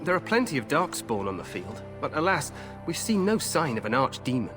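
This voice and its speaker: An actor playing a confident, glory-hungry young king in a fantasy game, regal, grand and expressive. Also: on the high side, fairly quick, over music. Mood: cocky, eager. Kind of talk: fantasy video game acting.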